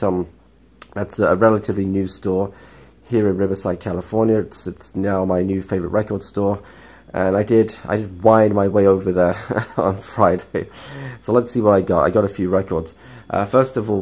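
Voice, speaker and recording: A man hosting a casual record haul vlog, talking in a chatty, rambling way with lots of ums, his voice croaky and stuffy from a cold. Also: low-pitched, a little mellow. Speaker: vinyl collecting vlogger.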